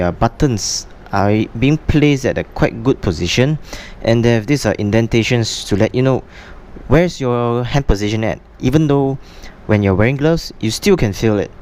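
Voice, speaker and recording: A man presenting a casual, unscripted product unboxing for a vlog, explaining things in a halting way. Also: medium pitch, darkish tone.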